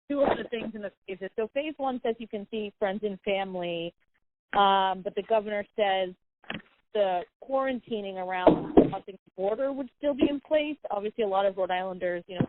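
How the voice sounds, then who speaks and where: A woman's voice: mid-range voice, a little hiss or hum. A woman reporter speaking conversationally as she asks questions on a press conference call. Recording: thin, tinny phone line.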